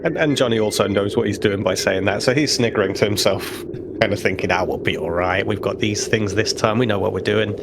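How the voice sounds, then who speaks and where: A man with a fairly low voice plays a tabletop game, casually narrating what his character does. The recording is heavily noisy. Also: a little fast. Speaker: player in a tabletop role-playing game. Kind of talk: casual talk, describing his character's actions. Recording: heavy noise on the recording.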